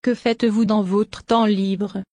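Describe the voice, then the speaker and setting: A woman reading short French questions for a language lesson in a clear, scripted way. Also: medium pitch, level tone, slow pace, darkish tone, no echo. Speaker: French dialogue reader.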